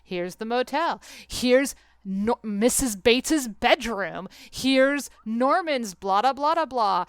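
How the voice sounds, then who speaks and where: A woman podcast host chats casually in a clear, smooth voice, recorded close and dry. Her delivery is animated and sarcastic, with swearing thrown in freely. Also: on the high side, takes it slowly, no echo. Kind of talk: casual podcast chat about a film and book.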